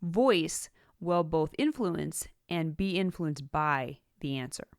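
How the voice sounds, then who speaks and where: A woman with a slightly low voice presents an instructional podcast from a script. She phrases things deliberately and leans on key words for emphasis. The sound is dry, with very little room in it. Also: lively intonation, gravelly, no echo. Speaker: podcast host, writing teacher.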